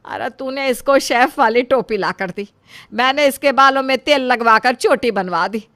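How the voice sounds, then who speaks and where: A woman acting a bright, nagging mother-in-law. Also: high voice, normal speed. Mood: exasperated. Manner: scolding, exclamatory.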